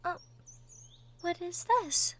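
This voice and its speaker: A woman voicing a sweet, shy young cottage girl in love, gentle and stammering, in a girlfriend roleplay audio drama. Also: extremely high pitch, fairly even intonation, slow pace, slight background noise, heavily acted. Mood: flustered, surprised. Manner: stammering, gentle, breathy asides.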